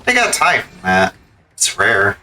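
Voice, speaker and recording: A man's voice: lowish voice, huge pitch swings, a little slow, pushed and bright, a little hiss or hum. A man giving casual live gameplay commentary, exclaiming and mildly swearing at the game as he talks to it. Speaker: video game streamer. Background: video game music.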